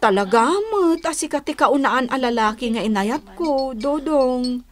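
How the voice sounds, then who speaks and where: A woman's voice: high voice, lively intonation, a little slow. A woman playing an earnest young girlfriend in a radio drama, with an Ilocano accent. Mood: earnest pleading. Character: earnest young woman confessing her past to boyfriend.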